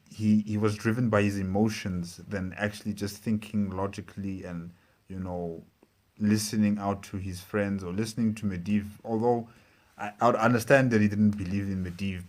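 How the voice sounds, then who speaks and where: A man giving casual reaction commentary as a show host, speaking haltingly and repeating phrases. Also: fairly low pitch, a little mellow.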